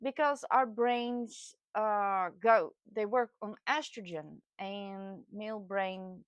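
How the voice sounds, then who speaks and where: A woman in her late forties from the Netherlands with a Dutch accent, telling her own experience casually and candidly, often pausing to search for words. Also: mid-range voice, no echo. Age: late 40s. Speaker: vlogger sharing personal experience. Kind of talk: casual personal talk to camera.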